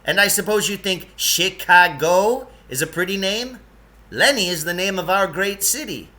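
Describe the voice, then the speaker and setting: A man's voice: high voice, normal speed, sharp tone, pitched above the performer's own voice. A man voicing a jumpy, fussy and proud guide from another world in a storybook, hesitant at times and touchy. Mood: proud, indignant. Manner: hesitant stammering, then emphatic and touchy.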